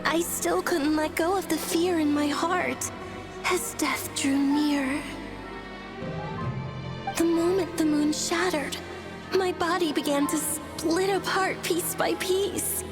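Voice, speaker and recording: A woman's voice: very high-pitched, fairly even intonation. A woman playing a dying moon goddess in a video game, solemn, poetic and tormented. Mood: sorrowful, wistful. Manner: slow, solemn, poetic delivery. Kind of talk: dramatic video game voice acting. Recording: music behind the voice.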